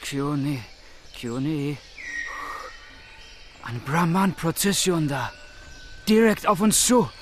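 An actor playing an alert, anxious young Indian elephant driver in a radio play, halting and urgent. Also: male voice, fairly high pitch, expressive, background noise. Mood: alarmed.

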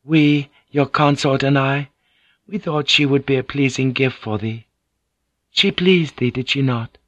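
A man voicing a gentle, composed Japanese noblewoman in an audiobook, speaking in courteous, archaic phrasing. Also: medium pitch, steady tone, unhurried, no room sound, dull audio, pitched above the performer's own voice, lightly acted. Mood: placating, composed.

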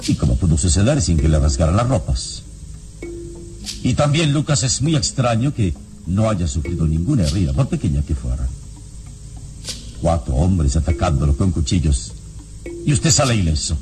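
An actor in a radio drama playing a solemn, relentless and eloquent heroic investigator who questions in formal, theatrical diction. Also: male voice, sits low, big pitch swings, moderate pace, long pauses, music in the background. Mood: accusing. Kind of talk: classic Latin American radio drama acting.

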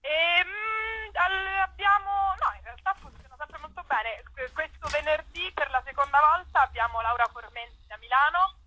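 A woman's voice: high-pitched, animated, moderate pace, pushed and bright, lo-fi sound. A woman, chatting casually as an interview guest, hesitant and often trailing off.

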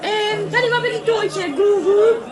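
A woman playing an excitable, chatty young woman in a comedy radio play, gushing and stammering dramatically. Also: extremely high pitch, a little slow, a crowd in the background.

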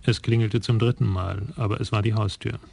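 A man narrating a German crime radio play in a calm, measured way. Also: on the low side, creaky, husky, no echo.

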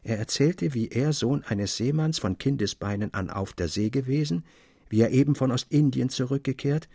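A man's voice: medium pitch, moderate pace, warm tone, breathy edge, no echo, studio quality. A man narrating a classic literary tale expressively, as an audiobook reader. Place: dry studio.

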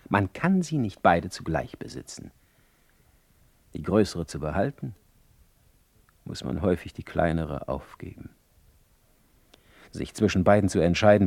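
A man's voice: deep voice, vocal fry, no echo, exaggerated voice. An actor playing a brooding, ironic poet in a radio play, speaking an eloquent, theatrical monologue as a letter to his brother.

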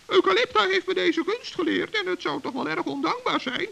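Super high creaky little gnome, naive and good-hearted, kids radio play. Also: male voice, expressive, a little fast, bright, forceful tone, full character voice. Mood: hesitant, conscientious. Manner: earnest, naive, polite. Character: small, good-hearted, trusting male forest gnome. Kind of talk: children's radio play acting.

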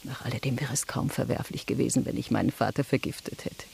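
An actress playing a bitter, vengeful French noblewoman in a radio drama, intense and husky, with creaks in her voice. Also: very deep voice, quick pace, darkish tone, whispered, exaggerated voice. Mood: hushed, vengeful.

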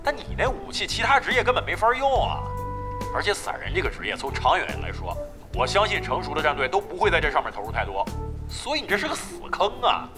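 An actor playing a brash, crafty veteran gamer who grumbles and swears in a Chinese radio drama. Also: male voice, on the high side, wildly swooping pitch, fast, music in the background. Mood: scornful, persuading. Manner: coarse, swearing, blustery.

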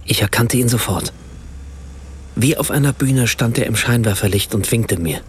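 A man playing a writer who narrates a radio play in a measured, precise way. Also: mid-range voice, slight background noise, natural voice. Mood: reflective, measured. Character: German writer narrating a remembered family evening in Rome.

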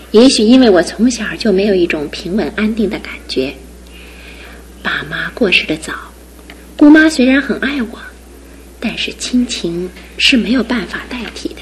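A woman's voice: mid-range voice, lively intonation, natural voice. An actress voicing an independent, teasing young female student about to graduate, in a studio radio drama. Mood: tender earnest. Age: young adult. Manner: teasing and brisk, then tender. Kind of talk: 1960s-70s studio radio drama acting.

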